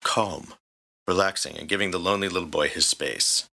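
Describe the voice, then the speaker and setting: A man's voice: sits low, normal speed, gravelly, bright, forceful tone. A man reading scripted story narration as the narrator. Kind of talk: scripted fan fiction narration.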